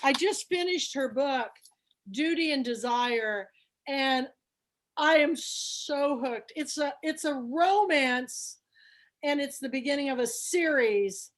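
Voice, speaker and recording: A woman's voice: high voice, animated, slow. A woman hosting an online book club, chatting with gushing enthusiasm over a video call. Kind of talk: casual chat on a video call.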